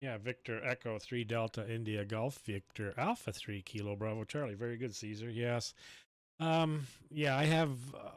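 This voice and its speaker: Male ham radio operator, casual and conversational, clean smooth voice recorded close and dry. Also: mid-range voice, no room sound. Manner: spells call signs in phonetic alphabet. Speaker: amateur radio operator, station host.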